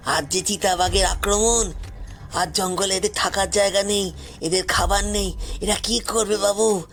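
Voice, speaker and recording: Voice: medium pitch, steady tone, measured pace, fairly bright tone. A performer voicing a poor, wronged forest tribesman in an audio story, pleading anxiously and repeating phrases insistently, with deference. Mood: pleading, bitter. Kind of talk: dramatised audio story acting.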